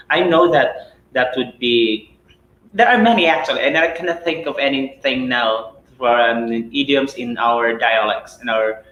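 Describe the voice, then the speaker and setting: A chatty Filipino man runs a live English lesson, asking rhetorical questions and tagging "right?" onto his points. His voice sits at an ordinary middle pitch. It bounces around a roomy space, with a faint hum of ambience underneath. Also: fairly bright tone, dull audio. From Philippines. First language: Cebuano. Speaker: English teacher.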